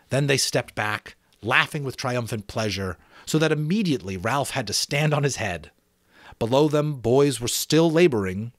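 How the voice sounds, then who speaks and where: Middle-aged male storyteller, amateur audiobook feel, expressive and varied in pitch, dry recording. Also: no room sound. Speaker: amateur narrator reading a novel aloud. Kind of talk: dramatic audiobook narration with asides to listeners.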